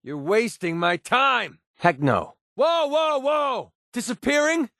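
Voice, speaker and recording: A brash young mercenary from a video game, high-pitched for a male. He swings widely in pitch and fires off sarcastic, clipped wisecracks. The recording is close and dry. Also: expressive, slow, bright, forceful tone, no echo, heavily acted. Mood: taunting. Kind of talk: video game companion voice lines.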